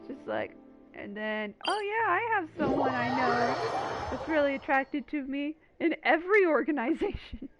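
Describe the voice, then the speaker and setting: High-voiced woman giving joking game commentary. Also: animated, normal speed, muffled recording. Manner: joking, acts out mock dialogue. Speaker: video game streamer. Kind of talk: casual playful gameplay commentary. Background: game music.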